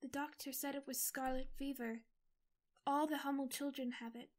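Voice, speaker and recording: A shy, gentle teenage girl, as an actress plays her in an audio drama. Her voice is high and soft, and she speaks with a timid hush. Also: steady tone, normal speed, lightly acted. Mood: shaken. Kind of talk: amateur audio drama acting.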